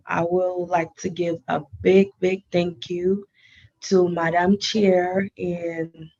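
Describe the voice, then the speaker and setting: Woman, native Haitian Creole speaker, unhurried, dull lo-fi meeting feed. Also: on the low side, darkish tone. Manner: careful, halting non-native English. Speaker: GameSense advisor. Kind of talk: prepared meeting presentation.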